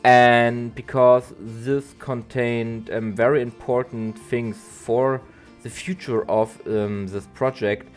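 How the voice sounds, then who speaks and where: A man, a bit nasal from allergies, doing a halting voiceover for a game build video with lots of ums and restarts. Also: mid-range voice, level tone. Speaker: zoo-building game creator. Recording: soft guitar music behind.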